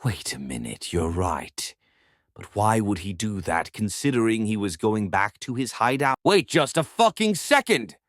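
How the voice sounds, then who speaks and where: Prison overseer played by a man: low voice, big swings, defensive, argumentative, hot-headed, animated web drama. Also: measured pace, no room sound, clean studio sound. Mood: alarmed.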